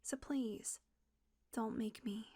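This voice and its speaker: A woman acting a tense female vampire who switches between hushed whispers and cursing outbursts in an audio roleplay. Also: a little high, steady tone, takes it slowly, husky, exaggerated voice. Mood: weary, firm.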